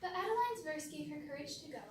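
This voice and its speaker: Teenage female narrator, extremely high voice, rhymed stage story, echoing hall, faint background noise. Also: expressive, fast. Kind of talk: rhymed storytelling for readers theater. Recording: echoey hall, distant mic, faint noise.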